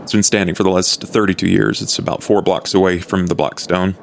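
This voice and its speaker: A man voicing a courteous, measured old vampire in a tabletop roleplay podcast, speaking formally and deliberately. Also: little pitch movement, slight background noise. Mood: measured, explaining. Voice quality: low, gravelly. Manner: formal, deliberate, understated phrasing.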